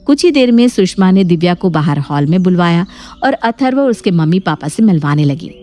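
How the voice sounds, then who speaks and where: A woman's voice: on the low side, sing-song, brisk, warm tone. A woman reading scripted story narration. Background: background music.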